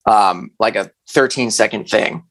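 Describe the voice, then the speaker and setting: A man speaking as an interview guest, chatting casually in a halting, self-deprecating, conversational way. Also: lowish voice, a bit bright, slightly rough. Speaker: musician, podcast guest. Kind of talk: casual chat.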